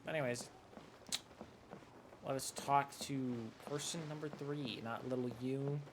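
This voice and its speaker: A man's voice: mid-range voice, flat delivery, unhurried, background noise. A young man giving casual, joking commentary as he plays through a game, often sarcastic and flippant. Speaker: game streamer. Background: game music.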